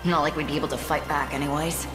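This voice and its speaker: An actress playing a tough, distrustful captain of fighters in a video game, blunt and sardonic. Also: female voice, deep voice, steady tone, fast talker, lightly acted. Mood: grudging. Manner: blunt, sardonic, hard-bitten. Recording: roomy echo, music behind.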